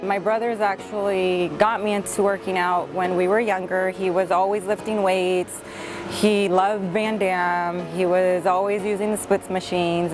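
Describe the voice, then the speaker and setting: A young woman who speaks Spanish and English, talking earnestly and upbeat in an audition interview, with many fillers. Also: mid-range voice. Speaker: reality show contestant.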